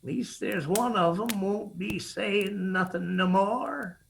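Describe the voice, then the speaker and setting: A hard-bitten, menacing mountain uncle in a radio drama. He has an Appalachian accent and a slow, creaky voice. Faint noise sits behind him. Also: high voice, heavily acted. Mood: goading.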